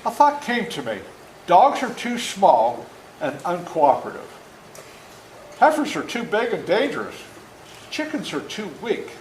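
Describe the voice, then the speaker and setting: A man in his seventies reading a story aloud to children in a folksy, interactive, animated way, as an author before a young audience. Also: sits high, a little slow, long pauses. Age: 70s. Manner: folksy, interactive, acts out moments. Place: indoor room before a school audience. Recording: roomy echo, faint background noise.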